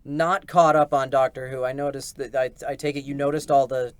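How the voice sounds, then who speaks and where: A man's voice: medium pitch, steady tone, fairly quick, dry recording. A man hosting a livestream in a small recording room, chatting informally and reading out viewer messages with effusive thanks. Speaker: livestream host and voice performer. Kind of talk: casual chat, reading out viewer donations.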